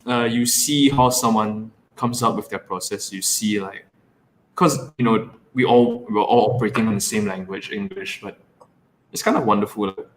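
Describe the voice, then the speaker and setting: Male poet from Singapore, low voice, loose filler-heavy chat, faint hiss. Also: normal speed, rough recording. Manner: rambling, heavy on fillers, laughs often. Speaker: poet guest. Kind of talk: casual panel chat.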